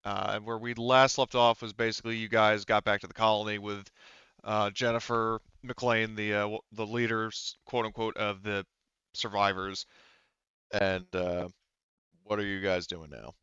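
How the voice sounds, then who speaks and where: Dull-sounding, halting male game master. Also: fairly low pitch, level tone, bright, forceful tone, smooth, no room sound. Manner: hesitant, halting phrasing with self-corrections. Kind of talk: narrating a tabletop roleplaying game.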